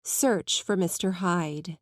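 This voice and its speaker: A woman's voice: lowish voice, slow, no room sound. Woman narrating literature, close and dry. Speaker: audiobook narrator.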